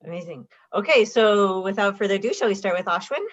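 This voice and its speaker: A woman's voice: mid-range voice, fast. A woman professor co-hosts a live online panel over a video call. She talks in a loose, chatty way, often restarting her sentences. The sound is slightly dull and lo-fi. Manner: chatty, with frequent restarts and fillers. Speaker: math professor and co-host.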